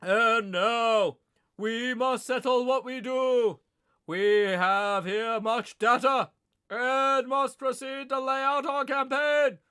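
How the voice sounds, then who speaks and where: High-pitched slow old professor, smooth clear voice, grave and deliberate, read by a man. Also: fairly even intonation, bright, forceful tone, heavily acted. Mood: planning. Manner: measured, solemn, deliberate. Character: elderly scholarly professor leading vampire hunters. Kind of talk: dramatic reading aloud.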